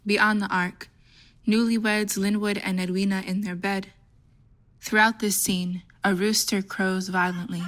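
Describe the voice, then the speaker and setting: A woman narrates a play script, reading out the setting and stage directions. Her voice is bright and crisp-edged, at a middle pitch. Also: level tone, measured pace.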